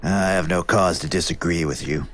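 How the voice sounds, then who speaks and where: A man's voice: deep voice, moderate pace, a bit bright. A man playing a reluctant, skeptical young prince in an audio drama. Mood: wry. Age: young adult.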